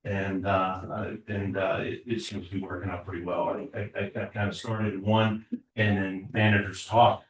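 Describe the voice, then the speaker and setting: A man serving as code enforcement officer, reporting to a borough council with a halting delivery, many filler words and repeated starts. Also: sits low, flat delivery. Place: municipal council chamber. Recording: echoey chamber audio from far off.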